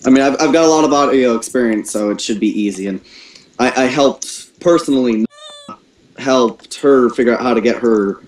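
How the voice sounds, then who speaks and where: A man casually explaining audio matters on a group call, halting and repeating words as he goes. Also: mid-range voice. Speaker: audio technician advising a voice cast. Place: echoey room, on a voice call. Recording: echoey room over internet call.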